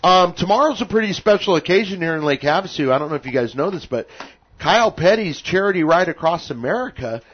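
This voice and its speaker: Raspy man, radio host, rambling studio chat, slightly high voice, dull lo-fi sound. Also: expressive, quick pace. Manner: joking, rambling. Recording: lo-fi radio broadcast.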